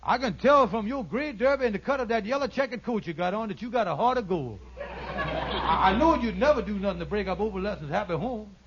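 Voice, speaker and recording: An actor playing a scheming, fast-talking con man in a 1940s radio sitcom, with blustering, stammering, folksy ungrammatical speech. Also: high-pitched, expressive, noisy background. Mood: smooth-talking. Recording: muffled vintage radio recording.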